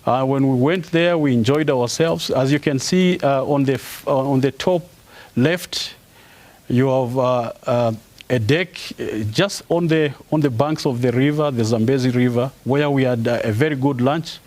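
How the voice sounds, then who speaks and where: A man in his forties from Zambia, giving a formal academic presentation as a professor. He pauses often on "uh" and restarts his phrases. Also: mid-range voice, darkish tone. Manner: halting, with frequent "uh" pauses and restarts. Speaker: professor giving an inaugural lecture.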